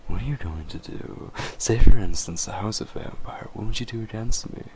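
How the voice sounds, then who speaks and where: Man playing a low, creaky, smug vampire. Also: heavy background noise, close to an everyday voice. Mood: mocking, teasing. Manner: slow, taunting, chuckling, often dropping to breathy murmurs. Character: smug, teasing, possessive male vampire toying with his prey. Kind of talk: scripted roleplay audio drama.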